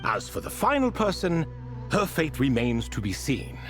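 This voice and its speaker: A man's voice: mid-range voice, huge pitch swings, moderate pace, over music. A man voicing an ancient, whimsical and prideful sealed ibis spirit king in a video game, telling tales in grand archaic phrasing. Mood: solemn storytelling. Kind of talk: scripted game dialogue.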